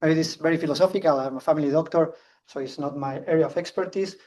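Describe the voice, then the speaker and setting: Spanish-accented man speaking fast. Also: a little high, a little mellow, recorded from a distance. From Spain. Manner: fast, earnest, with restarts. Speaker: conference speaker. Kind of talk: public talk.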